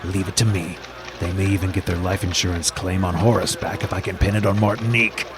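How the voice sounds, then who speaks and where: A man's voice: low-pitched, level tone, fairly quick, noisy background, close to an everyday voice. An actor playing a menacing, scheming tough man in an old-time radio noir drama. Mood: threatening.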